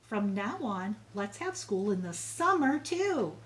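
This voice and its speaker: A woman voicing a proud, enthusiastic male school principal in a storybook, making drawn-out, emphatic declarations. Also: medium pitch, big pitch swings. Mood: proud, excited.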